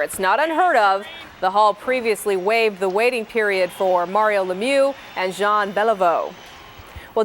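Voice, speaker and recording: Female TV sports anchor, highish voice, scripted news read, slight noise behind. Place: TV news studio. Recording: faint background noise, 1990s TV broadcast.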